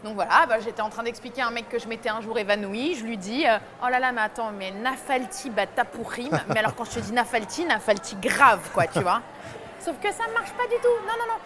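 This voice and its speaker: A young woman with a bright, high voice tells comic stories on a talk-show panel, laughing as she goes. Her delivery is animated and chatty. A faint hiss sits under the sound. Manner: lively storytelling, laughing often. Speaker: columnist.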